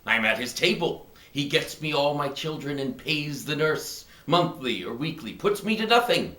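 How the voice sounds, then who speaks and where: A man's voice: a little high, lively intonation, bright, forceful tone. Man acting smug Elizabethan kept husband, echoey room. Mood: smug, contented, then gleeful, boastful. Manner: theatrical, gleeful, chuckling, drawn-out phrasing. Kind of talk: classical stage monologue acting.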